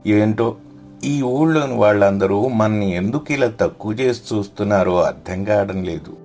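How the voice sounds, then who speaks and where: Man playing low-voiced humble poor villager. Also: moderate pace, darkish tone, faint music behind, natural voice. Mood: troubled. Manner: earnest, pleading. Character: poor, humble, devout villager seeking work.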